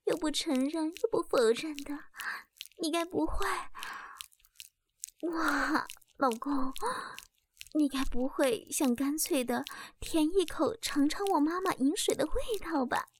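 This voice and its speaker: Casting: actress as a very high, lilting, cutesy young wife, breathy and teasing, over background hiss. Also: female voice, slow pace. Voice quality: breathy, whispery. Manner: cutesy, refers to herself as "人家". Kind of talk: intimate audio roleplay. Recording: steady background noise.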